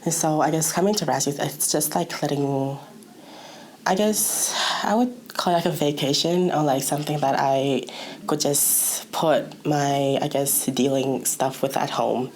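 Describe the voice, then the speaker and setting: A woman's voice: deep voice, a little slow, darkish tone. A young woman from Malaysia giving a casual personal account, hesitantly searching for her words. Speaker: youth programme participant.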